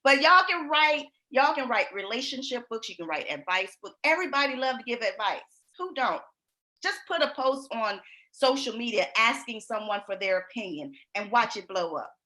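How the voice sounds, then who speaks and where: Brief: woman host, writing coach; bright, loud, folksy, laughs a lot. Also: fairly high pitch. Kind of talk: lively live-stream talk.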